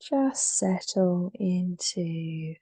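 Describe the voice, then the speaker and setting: A woman guides a meditation in a mellow, relaxed voice. She speaks extremely slowly, in short soothing phrases that trail softly into breath, with long pauses between them. The sound is dry, with very little room around her. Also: on the low side, no echo.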